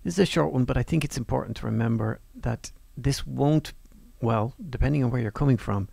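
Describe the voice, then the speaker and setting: A male lecturer talks through his slides in an easy, conversational way, pausing on fillers and restarting sentences. His voice is heavily gravelly with a lot of fry. It was recorded very dry and close, with no room sound. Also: mid-range voice, dark tone, dry recording. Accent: non-Northern Irish. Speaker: university course lecturer. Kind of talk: presenting over slides.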